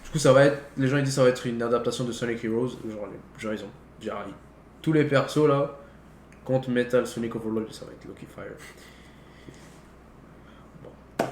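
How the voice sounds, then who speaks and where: A man's voice: medium pitch, normal speed, long pauses, darkish tone. A young man chatting casually about films, peppering his slangy talk words. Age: young adult. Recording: roomy echo, faint background noise.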